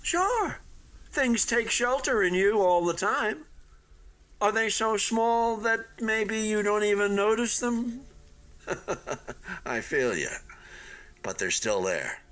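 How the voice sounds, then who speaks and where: A man's voice: high-pitched, sing-song, a bit bright, heavily acted. A man in a radio play voicing an unfinished hotel building, proudly deluded and eagerly optimistic, with a theatrical delivery that halts and then gushes. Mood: upbeat, hopeful. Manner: theatrical, halting then gushing, swooping emphasis.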